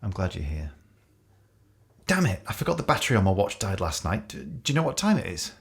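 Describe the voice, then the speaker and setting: Male actor, intimate radio play: remorseful, unsure boyfriend with a very low, creaky voice and hesitant, naturalistic delivery. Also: sing-song, heavily acted. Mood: subdued, regretful. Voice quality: low gravelly voice. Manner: hesitant, halting, apologetic. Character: Simon, regretful, self-doubting boyfriend near the world's end. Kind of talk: intimate naturalistic audio drama acting.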